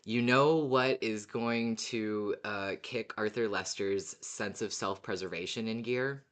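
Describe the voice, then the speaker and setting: Androgynous-sounding podcast co-host, giggly and excitable. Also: medium pitch, takes it slowly. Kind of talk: casual fan discussion of an audio drama.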